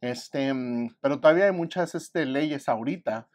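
A man's voice: mid-range voice, a little fast. A middle-aged man, a teacher giving informal, hesitant commentary as he shares a picture book. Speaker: art teacher reading a picture book aloud. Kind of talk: read-aloud with informal bilingual commentary. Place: indoor room with poster-covered wall and curtain.

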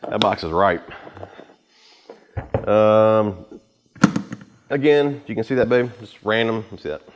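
A man in his thirties giving a casual, unscripted walkthrough to camera in an informal, rambling way, with pauses as he handles gear. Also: lowish voice, lively intonation, noisy background. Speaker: vlogger giving a boat tour. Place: home garage with the door open.

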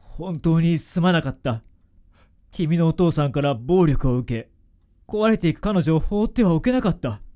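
A man's voice: on the high side, expressive, moderate pace, dark tone, no room sound, studio quality, lightly acted. An actor playing a grave, apologetic middle-aged father full of remorse in a radio drama. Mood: grave, remorseful. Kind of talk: Japanese radio drama acting.

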